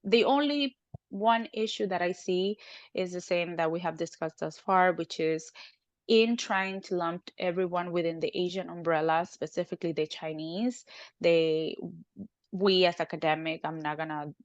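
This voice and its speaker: Cuban-born woman academic, talks with animated emphasis, lower range, dull dry lo-fi recording. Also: moderate pace, no room sound. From Cuba. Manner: animated, frequent "right?" tag questions. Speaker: academic guest. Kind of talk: conversational interview.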